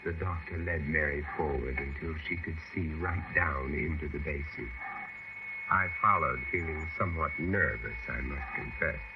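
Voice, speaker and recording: An actor playing a grave, uneasy gentleman who narrates a radio horror tale in a deliberate, suspenseful, formal manner. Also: a bass voice. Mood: uneasy, fascinated. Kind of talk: dramatic first-person radio horror narration. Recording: muffled, very noisy old radio recording.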